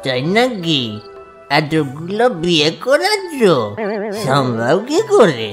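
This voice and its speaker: A woman's voice: low-pitched, huge pitch swings, slow pace, music in the background, heavily acted. A woman voicing a drunk, sentimental middle-aged married man in a cartoon comedy, muddled and drawling. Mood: tipsy, easygoing.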